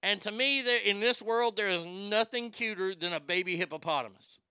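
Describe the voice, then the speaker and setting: A middle-aged man hosting a radio show, telling crude, brash stories in a high tenor voice. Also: fairly quick, clean tone, no room sound, clean studio sound. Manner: crude, brash, animated storytelling with profanity. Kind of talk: casual storytelling monologue.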